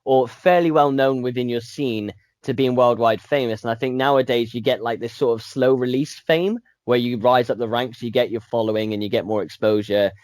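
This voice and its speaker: A man's voice: mid-range voice, a little fast, hardly any pauses, darkish tone, no room sound, clean studio sound. A man co-hosting a music podcast, talking with rambling enthusiasm. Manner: enthusiastic, rambling, repeats words. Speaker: podcast co-host. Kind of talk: casual chat about music.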